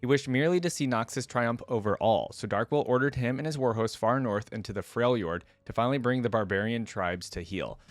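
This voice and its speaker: A man's voice: medium pitch, no room sound. A man hosting a casual reaction video, switching between chatty commentary and reading lore aloud with informal asides. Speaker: YouTube reaction host.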